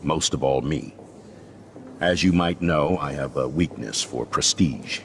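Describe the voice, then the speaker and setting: An actor playing a jovial, slightly self-important middle-aged school principal in a video game, speaking in an avuncular, slightly pompous way. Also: male voice, measured pace, a little hiss or hum. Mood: earnest, regretful. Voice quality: very deep, gravelly.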